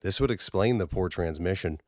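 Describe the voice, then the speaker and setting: A man's voice: deep voice, normal speed, a little mellow, no echo, clean studio sound, close to an everyday voice. Sci-fi audiobook role: frustrated, anxious male colleague acted by a man, earnest, pressing, a little formal. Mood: concerned. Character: worried, frustrated male space-station colleague delivering bad news.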